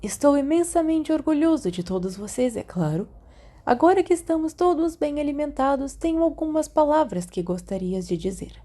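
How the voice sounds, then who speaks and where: A woman acts out a genial, aged wizard headmaster from a storybook. The voice sits noticeably higher, with a soft, mellow tone. Delivery is measured and ceremonial, like a proud speech. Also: very animated.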